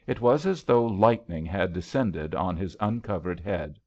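A man narrating a literary story in a measured, dramatic storytelling manner. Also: sits low, lively intonation, darkish tone. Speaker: audiobook reader. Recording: slightly dull, lo-fi audio.

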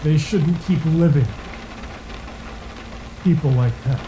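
Male as a polite but threatening property owner; unhurried, roomy echo, horror audio drama. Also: fairly high pitch, warm tone, a little hiss or hum. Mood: calm menace. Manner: measured, polite, matter-of-fact menace. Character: quietly menacing, rule-obsessed rental owner and gardener.

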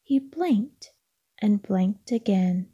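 A woman reading a children's picture book aloud as narrator, in a calming, gentle, hushed bedtime-story manner. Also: medium pitch, drawn-out words, husky, muffled recording.

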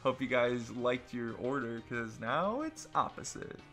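A man's voice: mid-range voice, lively intonation, a little slow, fairly bright tone. A young man bantering playfully and jokily during a casual group gameplay session. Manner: jokey, playful. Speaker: online gaming video player. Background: video game music.

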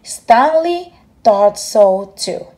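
A woman reading a children's bedtime story aloud as an expressive storyteller. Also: fairly high pitch, drawn-out words.

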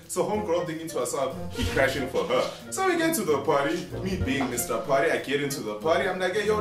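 A man in his twenties telling a story for a casual vlog in a slangy, jokey, theatrical and repetitive way. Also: fairly high pitch. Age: 20s. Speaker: vlogger. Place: small indoor room at home. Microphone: camera mic a few steps away. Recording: roomy, distant mic under music. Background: music.

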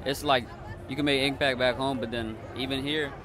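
A man's voice: medium pitch, steady tone, moderate pace, crowd noise. A young man from Eritrea answering casually and a little rambling at an outdoor festival. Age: young adult. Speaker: interviewee. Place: outdoor festival grounds at night.

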